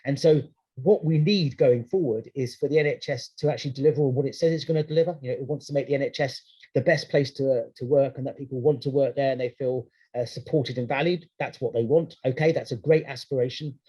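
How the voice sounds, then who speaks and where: A man's voice: mid-range voice, fast, dark tone, husky. A man speaking at a webinar, answering a question in a conversational way with many restarts. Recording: dull lo-fi video-call audio.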